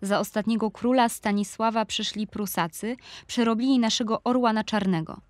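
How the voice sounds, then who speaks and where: A woman's voice: fairly high pitch, steady tone, moderate pace, no room sound, close to an everyday voice. A woman reading a literary memoir in an audio drama, reminiscing about her hometown and youth.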